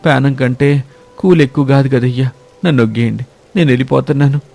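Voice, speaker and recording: A man's voice: medium pitch, steady tone, quick pace, vocal fry, a little mellow. A man voicing a frightened, poor hired village labourer in a moral story, rustic and deferential in manner. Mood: scared, refusing. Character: Veerayya, frightened poor hired labourer, refusing his master. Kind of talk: dramatic moral-story cartoon voice acting.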